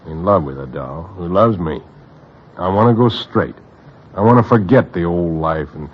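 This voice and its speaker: An actor playing a lovestruck, weary New crook who wants to go straight, in a 1940s radio drama with a husky voice. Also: male voice, deep voice. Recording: dull vintage radio recording.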